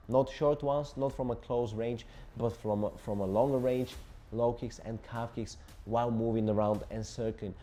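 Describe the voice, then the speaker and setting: A man's voice: medium pitch, warm tone. A Bulgarian man in his twenties, a combat sports journalist speaking English as a second language, breaking down a fight with emphatic, repetitive lists of tactics. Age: 20s. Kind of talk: solo fight analysis to camera.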